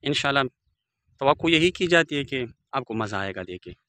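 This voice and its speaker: A man's voice: medium pitch, animated, normal speed, bright, forceful tone. Man narrating an outdoor phone vlog. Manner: formal, devout phrasing with Arabic greetings and blessings. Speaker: village vlogger. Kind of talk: walking tour commentary. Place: outdoors in open riverbed countryside. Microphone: phone camera held by the speaker.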